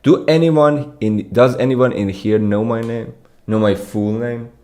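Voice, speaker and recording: A man's voice: mid-range voice, a little slow. A young man from Iran, a competitive programming streamer, casually answering live chat while reading it aloud. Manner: relaxed, reads and answers chat. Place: small dim room with pink light. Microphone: studio mic on arm.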